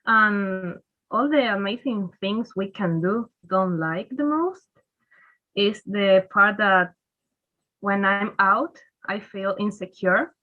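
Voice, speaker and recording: A woman's voice: medium pitch, takes it slowly. A woman in her twenties from Argentina speaking carefully on a video call panel. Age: 20s. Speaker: student panelist. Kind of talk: casual chat. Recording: tinny phone-like call audio.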